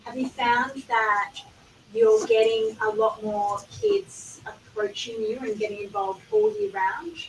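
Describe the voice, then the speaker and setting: A woman's voice: sits high, very animated, a little slow, vocal fry. An Australian woman student in the audience, asking a long, hesitant question. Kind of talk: question from the floor. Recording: echoey room from far off, faint noise.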